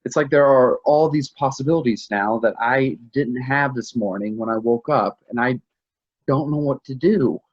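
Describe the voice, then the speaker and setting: A shaken husband played by a man in a naturalistic play reading. The voice is mid-pitched and husky, halting and hesitant as he gropes through grief and confusion. The sound is a little dull and lo-fi. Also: close to an everyday voice. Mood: apologetic, pleading. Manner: halting, hesitant, repeating himself. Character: shaken, confused husband grieving a lost pregnancy.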